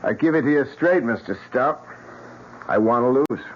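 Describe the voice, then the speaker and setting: An actor playing an eccentric, morbid painter in an old-time radio mystery, with a theatrical, mockingly cheerful manner. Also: male voice, medium pitch, normal speed, close to an everyday voice. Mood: morbid, confiding. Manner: theatrical, sardonic, swinging delivery. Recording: muffled, lightly hissy old radio broadcast.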